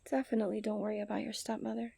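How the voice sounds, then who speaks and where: A woman playing a confident, romantic young princess in a soft, intimate ASMR roleplay. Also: mid-range voice, vocal fry, a little hiss or hum. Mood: teasing, loving. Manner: soft, intimate delivery that often drops into whispers.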